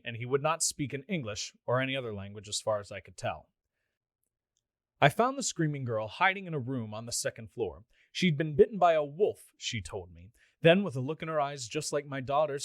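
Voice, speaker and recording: A man's voice: mid-range voice, no echo, close to an everyday voice. A man playing an exasperated middle-aged police officer reading out an official report in a radio play. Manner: official, dry, flustered asides. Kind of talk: scripted radio play monologue, formal report.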